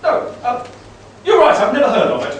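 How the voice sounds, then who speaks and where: A man in an amateur radio play playing a formal, polite committee chairman who is measured and a little baffled. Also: very high-pitched, very animated. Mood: doubtful. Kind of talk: amateur live comic radio play acting. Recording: echoey hall, distant, loud noise.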